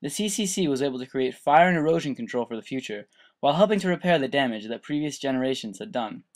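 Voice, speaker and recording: Mid-pitched man reading documentary narration. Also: expressive, fast. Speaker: student narrator.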